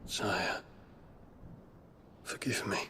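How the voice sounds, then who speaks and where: A man playing an earnest, proud warrior prince, speaking gravely and formally as a dutiful but wounded son in a fantasy game drama. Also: very slow, pushed and bright, slight background noise. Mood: chastened.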